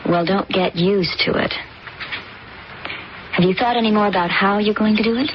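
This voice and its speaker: An actress as a brisk, bossy young daughter. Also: female voice, medium pitch, close to an everyday voice. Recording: dull old radio recording with hiss.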